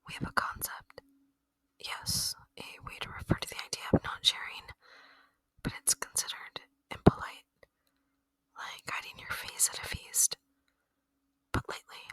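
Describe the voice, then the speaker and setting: A woman voicing a gentle, lonely, curious alien in a soft, wistful, intimate ASMR roleplay whisper. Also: no room sound, poor audio. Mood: musing, intimate.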